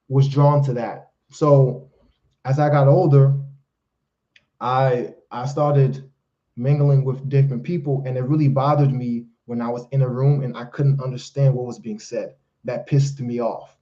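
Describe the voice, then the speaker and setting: A man's voice: medium pitch, mellow, muffled recording. A man whose first language is Haitian Creole, hosting a live Q&A as a life coach, giving emphatic, preachy advice and calling listeners fellas and brother. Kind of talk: live-stream Q&A, motivational advice.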